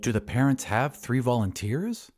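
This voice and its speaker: A man's voice: medium pitch, very animated, normal speed, darkish tone, faint music behind. A man voicing a demure, shy and admiring woman in an audio drama. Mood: matter-of-fact.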